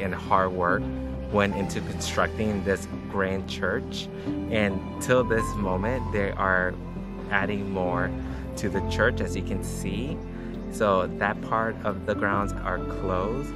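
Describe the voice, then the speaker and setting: A man in his thirties talking earnestly and with enthusiasm as a travel vlogger, filmed at arm's length on a road trip. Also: lowish voice. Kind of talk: casual travel vlog. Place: outdoors at a church and in a car. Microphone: handheld camera at arm's length.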